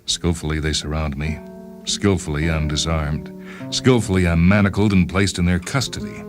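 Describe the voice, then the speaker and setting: A man with a deep voice narrates literary fiction. His delivery is measured and wry, shaped for dramatic storytelling. Soft instrumental music plays behind him. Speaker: narrator reading a short story. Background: instrumental music with guitar.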